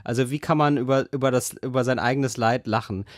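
A man's voice: medium pitch, steady tone, fast, dry recording, studio quality. A man in his thirties co-hosting a podcast, casually retelling a book as a chatty storyteller with lots of fillers and restarts. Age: 30s. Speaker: podcast co-host.

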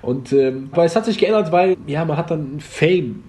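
A man's voice: on the high side, expressive, normal speed, warm tone, a little hiss or hum. A man born and raised in Germany, a guest telling stories in a casual interview, laid-back and rambling with lots of fillers. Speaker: podcast guest, former online comedian.

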